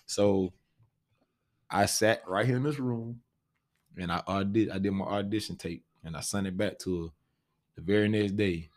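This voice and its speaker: A man's voice: lowish voice, fairly even intonation, moderate pace, long pauses. A man with an American accent telling a story casually, in slangy everyday talk full of check-in phrases. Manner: slangy, often says "you know what I'm saying". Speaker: stage actor discussing his part.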